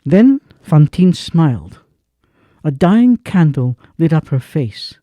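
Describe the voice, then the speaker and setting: A man's voice: a little high, big pitch swings, slow, warm tone, dry recording, studio quality. A man narrating a radio drama in a grave, emphatic way that swells on key words.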